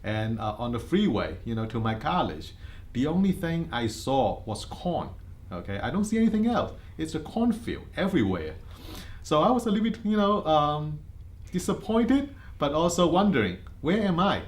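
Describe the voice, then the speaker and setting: A man's voice: a little high, sing-song, moderate pace. A middle-aged man with a Hong Kong Cantonese accent, telling his life story in an interview, earnest and full of fillers and restarts. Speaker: insurance agency head.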